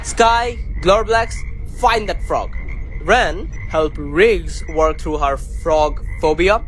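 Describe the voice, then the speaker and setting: A man's voice: a little high, slow pace, a bit bright, slight background noise. An actor playing a self-important, bossy male starship captain with a needy streak in a sci-fi comedy radio drama. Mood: pompous. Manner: pompous, commanding.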